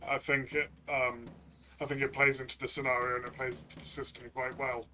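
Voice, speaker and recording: Guy with a very bright sharp voice chatting about a game, tentative, bit far from the mic. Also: mid-range voice, flat delivery, fairly quick, faint music behind. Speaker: player co-reviewing a game. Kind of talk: casual discussion.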